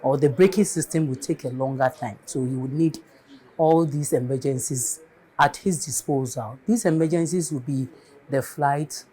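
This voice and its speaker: A woman with a Ghanaian accent answering interview questions as an air traffic controller, explaining things step by step in a deliberate, emphatic way. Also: very deep voice, warm tone, slightly rough, rough recording.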